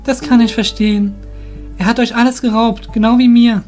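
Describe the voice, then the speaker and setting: A man's voice: very high voice, flat delivery, normal speed, a little mellow, faint music behind, voice pushed up. A man voicing a troubled young warrior in an audio drama, earnest and plaintive, blaming himself. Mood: doubtful, questioning.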